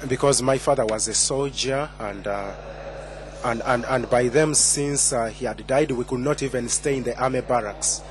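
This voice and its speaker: A man's voice: medium pitch, normal speed, crowd noise. A man in his twenties from Uganda giving a personal testimony at a conference, hesitant, with many fillers and restarts. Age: 20s. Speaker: conference speaker.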